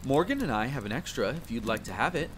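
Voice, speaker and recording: An actor playing a friendly, talkative, excitable young spearman in an immersive fantasy roleplay audio drama, with an eager, bantering manner. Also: fast, lightly acted. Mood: friendly, chatty. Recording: constant rain and rumble behind voice. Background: rain, campfire ambience, rolling thunder.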